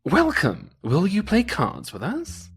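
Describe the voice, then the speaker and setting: A man's voice: high voice, huge pitch swings, slow pace, breathy edge, voice pushed up, heavily acted. A man voicing a rowdy, taunting gambling devil in a folktale.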